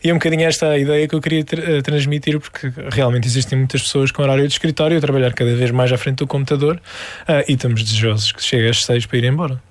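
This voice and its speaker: A young man from the Algarve with a European Portuguese accent, a musician guest talking through his songs in a relaxed interview, with lots of pauses and restarts. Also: mid-range voice, dry recording. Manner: thoughtful, many hesitations and restarts. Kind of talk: casual interview.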